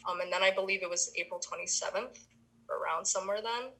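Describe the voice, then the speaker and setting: A young woman from the Philippines answers interview questions with a bright, sharp tone. Her voice is a little husky and breathy. She talks casually, with lots of ums and restarts. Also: lowish voice, fast, rough recording. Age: young adult. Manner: chatty, many ums and restarts. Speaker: interviewee, university student.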